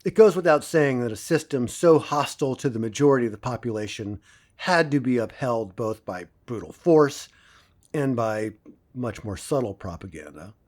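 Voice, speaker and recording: A man playing an earnest professor in an audio drama, giving a pointed political lecture in an emphatic, deliberate, didactic way. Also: mid-range voice, lively intonation, darkish tone, natural voice. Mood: grave, pointed.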